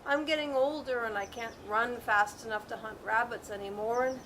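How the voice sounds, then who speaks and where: A woman voicing an aging male hound in a storybook tale, sighing and slow-spoken. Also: fairly high pitch, a bit bright, slight background noise, natural voice. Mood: weary. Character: aging male hound, weary then eager.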